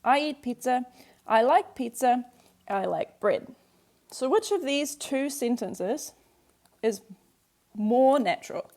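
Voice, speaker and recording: A woman's voice: on the high side, animated, slow, long pauses, a little mellow. A woman whose first language is English, teaching a workbook lesson and patiently working through examples step by step. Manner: patient, walks through examples step by step, repeats key points.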